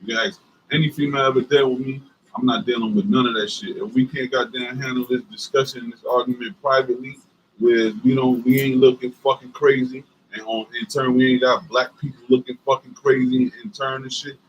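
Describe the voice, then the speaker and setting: A man with a deep voice and a Southern US accent, co-hosting a couples livestream and talking bluntly with lots of swearing and fillers. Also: fairly even intonation, brisk, muffled recording, a little hiss or hum. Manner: profane and emphatic, many fillers. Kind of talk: casual livestream chat.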